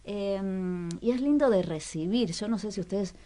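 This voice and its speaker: A woman hosting a radio culture segment, talking casually with many small pauses and fillers. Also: mid-range voice, mellow. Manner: chatty, hesitant with frequent fillers.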